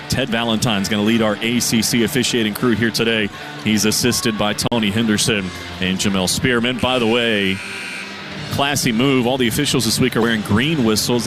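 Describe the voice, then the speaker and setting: Brisk radio play-by-play man over music. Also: on the low side. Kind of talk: pregame sports radio broadcast. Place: arena broadcast position.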